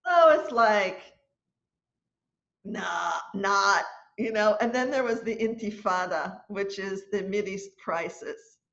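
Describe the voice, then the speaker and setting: A woman in her sixties, a choir leader, telling a story in an animated, chatty way during a lesson on a video call from home. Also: mid-range voice, takes it slowly, vocal fry. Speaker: choir leader and music teacher. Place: room at home, indoors. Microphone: laptop webcam on a video call. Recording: dull lo-fi video call audio.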